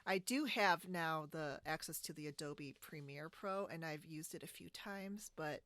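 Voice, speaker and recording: A woman's voice: low voice, measured pace, a bit bright, dry recording. A woman hosting a casual video podcast, talking in a chatty, giggly way from home. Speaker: podcast host. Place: home, remote video call.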